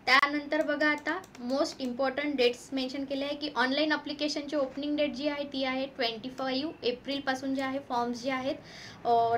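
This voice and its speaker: A woman's voice: sits high, a bit bright, slight background noise. A woman with an Indian accent explaining like a coaching teacher, reading out points and switching between two languages. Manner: code-switches between Marathi and English terms. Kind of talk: explanatory lesson, reading notification points aloud.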